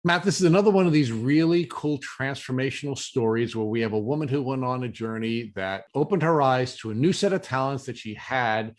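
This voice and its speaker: An American man from New York hosts an interview podcast. His voice sits at an average pitch, and he phrases questions and introductions formally and deliberately. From New York, USA.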